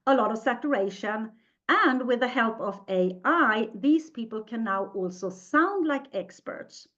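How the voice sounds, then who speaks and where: A woman's voice: a little high, lively intonation, unhurried, fairly bright tone, lo-fi sound. A woman from Sweden with a Swedish accent, hosting a live webinar in English, her second language, in a chatty, upbeat way and reading out the live chat. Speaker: webinar host.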